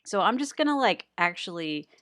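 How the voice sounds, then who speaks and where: A woman's voice: medium pitch, big pitch swings, normal speed, a bit bright, dry recording. A woman giving a casual, unscripted editing tutorial in a chatty, rambling way with self-deprecating humour.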